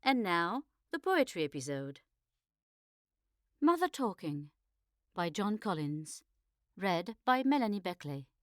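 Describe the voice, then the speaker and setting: A woman's voice: lowish voice, big pitch swings, slow, pauses often, dry recording, studio quality. A woman reading a scripted podcast introduction as the announcer.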